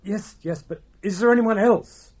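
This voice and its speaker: A man's voice: sits high, unhurried, darkish tone. An actor playing a pompous Victorian doctor who narrates his own curious tale in a comedy radio play. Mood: flustered. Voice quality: pompous, plummy.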